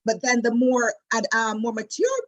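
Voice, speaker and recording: A woman in her late forties with a Jamaican accent, a wedding travel specialist chatting as an interview guest in a lively storytelling way, with many fillers and restarts. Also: fairly high pitch, moderate pace, lo-fi sound. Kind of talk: casual interview chat.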